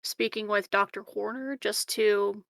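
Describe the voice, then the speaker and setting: A woman's voice: medium pitch, fairly even intonation, a little slow, creaky, a bit bright, no echo. A woman playing a tabletop game, chatting casually in a tentative, hesitant way.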